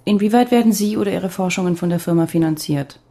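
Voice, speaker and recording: A woman's voice: on the low side, fast, mellow. An actress playing a sober, direct female journalist who questions someone in a radio crime drama. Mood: probing, sober. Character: probing, matter-of-fact female journalist interviewing a victim.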